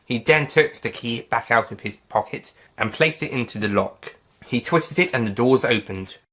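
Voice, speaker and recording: A man narrating a fan-written story aloud in a plain, steady reading voice. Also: mid-range voice, slightly rough. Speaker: narrator and writer.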